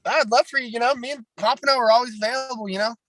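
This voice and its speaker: A man with a raspy voice, a pro wrestler talking bluntly and at length in a casual podcast chat, heavy on fillers. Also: very high voice, fairly even intonation, very fast, fairly bright tone, dry recording, clean studio sound.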